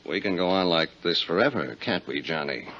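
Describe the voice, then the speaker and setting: Low-voiced, grumbling American private detective. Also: male voice, animated, brisk, background noise. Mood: exasperated. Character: weary, grumbling male private detective, loyal old pal. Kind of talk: 1950s radio drama acting. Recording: dull 1950s radio recording.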